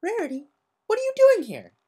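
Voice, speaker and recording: A timid little girl pony in a story reading, acted out by a woman. Her voice is high and small. She sounds tearful, hesitant and apologetic. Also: sing-song, a little fast, mellow. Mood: tearful, sorry.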